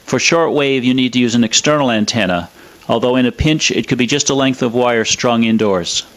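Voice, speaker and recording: A man presenting a scripted technical explainer in a measured, matter-of-fact way. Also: mid-range voice, fairly bright tone. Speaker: presenter of an electronics review.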